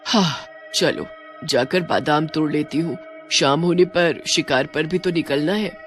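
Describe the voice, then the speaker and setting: A woman's voice: low voice, unhurried, soft background music. A woman voicing a menacing, gloating witch who sells almonds and hunts people in a cartoon horror story. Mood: scheming.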